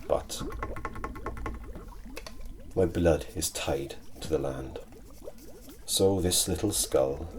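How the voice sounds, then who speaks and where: A man playing a deep Welsh sinister alchemist. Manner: archaic thee-and-thou speech, soft and hushed, sometimes whispered. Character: scheming old male court alchemist, wretched and sinister. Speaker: ASMR roleplay performer. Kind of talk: ASMR roleplay.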